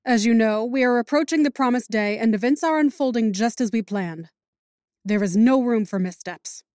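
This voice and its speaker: Villain leader, female actress, higher-pitched, imperious and poised, audio drama, close dry sound. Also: moderate pace, a little mellow, dry recording, lightly acted. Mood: cool command. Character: commanding female villain leader, coolly menacing, brooks no dissent. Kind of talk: scripted audio drama acting.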